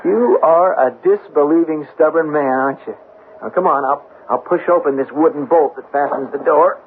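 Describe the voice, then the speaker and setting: An actor as excitable high-pitched believer in ghosts, noisy radio. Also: male voice, natural voice. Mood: eager, goading. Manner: excitable, halting, suspenseful. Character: superstitious, eager man fascinated by haunted-mill spirits. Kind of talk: 1970s radio drama acting. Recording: noisy, narrow-band vintage radio broadcast.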